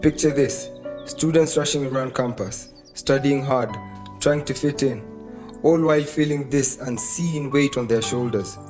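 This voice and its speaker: A Kenyan man narrates a scripted radio drama. His voice is medium in pitch, with music playing faintly underneath. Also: a little slow. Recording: music behind the voice. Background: background music.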